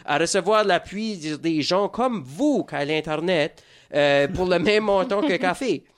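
A man's voice: high voice, expressive, a little slow. A man with an Acadian French accent from rural New Brunswick hosts a casual podcast, joking and rambling, mixing English words into his French. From rural Acadian New Brunswick, Canada. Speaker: podcast host.

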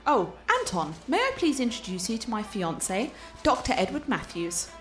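A woman's voice: a little high, very animated, moderate pace, a bit bright, faint music behind. A woman playing a cultured, confident art scholar and teasing fiancée in a radio play, articulate and playful. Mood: enthusiastic.

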